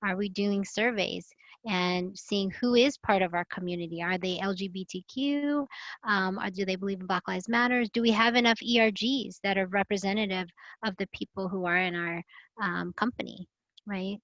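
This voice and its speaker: A woman's voice: medium pitch, normal speed, a bit bright, dry recording. Woman panel guest, earnest and reflective, dry lo-fi call audio. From Philippines. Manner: earnest, reflective, many fillers. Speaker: guest, health and safety expert. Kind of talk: live online panel talk. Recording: dull lo-fi video call audio.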